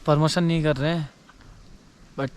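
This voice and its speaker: A man with a hoarse voice, chatting casually to the camera as a vlogger while walking to a temple. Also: fairly high pitch, steady tone, moderate pace, slight background noise. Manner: chatty, talks straight to the camera. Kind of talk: casual vlog chat.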